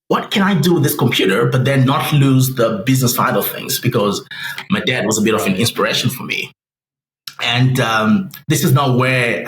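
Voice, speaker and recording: A man in his forties, a guest telling his career story in an animated way and acting out quoted voices. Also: fairly low pitch. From Zimbabwe. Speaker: guest business analyst. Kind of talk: interview storytelling. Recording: roomy with faint noise.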